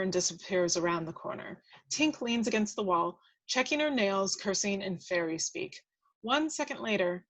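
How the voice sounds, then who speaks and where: A woman's voice: mid-range voice, fairly bright tone, rough recording. A woman reading scripted action descriptions aloud at a table read, now and then stumbling and correcting herself.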